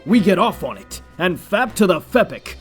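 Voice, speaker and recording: A man plays a vain, pompous tyrant king who is pleased with himself and speaks grandly. His voice sits a little high. Faint music plays behind him. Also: very animated, moderate pace. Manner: pompous, self-satisfied. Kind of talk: comedic fan dub acting.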